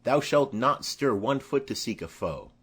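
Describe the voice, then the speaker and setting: A man's voice: mid-range voice, lively intonation, a little slow, fairly bright tone, breathy edge. A man voicing an anxious noblewoman and mother in a Shakespearean audiobook.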